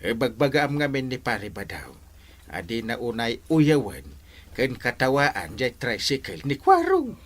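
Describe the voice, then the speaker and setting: An actor as a wildly animated Ilocano village captain. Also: male voice, medium pitch, a little slow. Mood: amused. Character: jovial, excitable male village captain, Ilocano comedy. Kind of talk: comedy drama acting.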